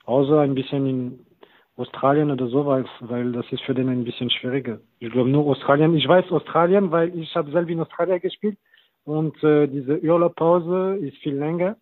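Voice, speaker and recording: A man in his thirties, a non-native German speaker, talking as a football coach guest with halting, hesitant phrasing. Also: a little high, steady tone, no room sound. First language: not German. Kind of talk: casual interview talk. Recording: tinny phone-like line, very dry.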